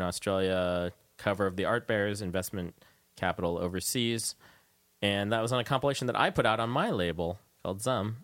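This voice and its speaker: A man's voice: lowish voice, fairly bright tone, no echo. A man hosting a radio show in a loose, informal, joking way, chatting casually with a guest and introducing music. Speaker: radio host interviewing a guest. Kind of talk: casual chat and music back-announcing. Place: radio studio.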